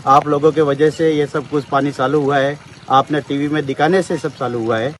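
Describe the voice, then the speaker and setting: A man's voice: on the high side, fairly even intonation, normal speed. A local man giving a plain, repetitive account to a reporter outdoors. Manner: repetitive, simple phrasing. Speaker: local villager interviewed. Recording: outdoor interview with background noise.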